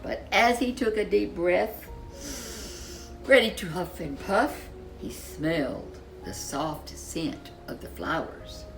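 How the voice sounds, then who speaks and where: A woman reading a children's storybook aloud as a storyteller, with expressive, deliberate delivery and dramatic emphasis. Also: lowish voice, creaky, soft background music, low-quality recording.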